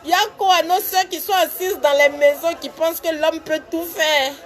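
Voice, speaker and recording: A woman, talking to camera from an office with a cold, preaching and laughing in loud, lively street talk. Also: very high voice, normal speed. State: has a cold. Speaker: vlogger.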